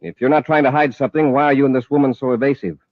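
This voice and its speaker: An actor playing a steady, authoritative space patrol commander in a 1950s radio serial. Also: male voice, mid-range voice, rapid-fire, no room sound, natural voice. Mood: accusing. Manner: firm, authoritative. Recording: dull old radio broadcast recording.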